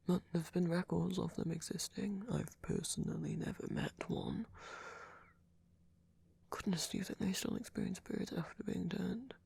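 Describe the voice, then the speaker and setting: A man playing a tender, fretful vampire boyfriend in an ASMR roleplay, speaking softly and hesitantly, often close to a whisper. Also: high voice, no room sound, heavily acted. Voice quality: breathy, often near whisper. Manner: soft-spoken, hesitant, stammering, tender. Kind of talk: ASMR roleplay audio drama.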